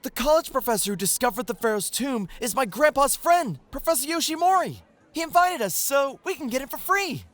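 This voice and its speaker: A man voicing an innocent, eager teenage boy in an animated audio drama. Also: very high-pitched, fairly quick.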